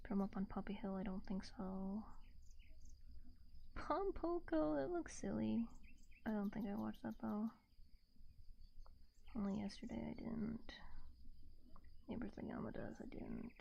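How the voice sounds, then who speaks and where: A woman streamer chatting casually in a gentle, soft-spoken ASMR style, rambling and hesitant, close to a binaural microphone. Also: medium pitch, unhurried, creaky, pauses often, highs cut off, a little hiss or hum.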